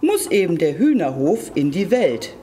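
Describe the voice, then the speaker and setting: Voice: medium pitch, big pitch swings, moderate pace, dark tone, slight background noise, natural voice. A storyteller voicing a proud, tech-loving hen who makes self-assured declarations in a children's story.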